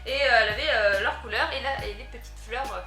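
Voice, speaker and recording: A woman chatting through an unboxing vlog, easily delighted and quick to giggle. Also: on the high side, sharp tone, echoey room, soft background music. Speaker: unboxing vlogger. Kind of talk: casual product unboxing chat.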